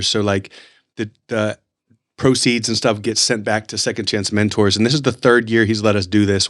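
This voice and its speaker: A man hosting a podcast in casual, effusive, informal conversation, tending to ramble as he tells stories. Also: lowish voice. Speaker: podcast host. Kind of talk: casual interview chat.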